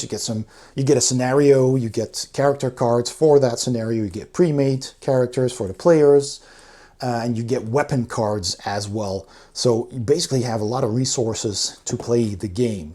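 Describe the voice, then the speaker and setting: A man's voice: medium pitch, mellow, breathy edge. A man giving a casual, conversational review, explaining things step by step as a host. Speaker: board game reviewer and channel host.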